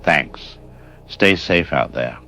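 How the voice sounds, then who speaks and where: A man's voice: extremely low pitch, animated, slow, bright, forceful tone, exaggerated voice. A man playing a worried doctor in an audio drama, giving sober, frank, urgent warnings as a radio interview guest. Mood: grave, urgent. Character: grave, worried male doctor warning the public. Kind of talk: radio news interview acting. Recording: dull lo-fi broadcast sound, music underneath.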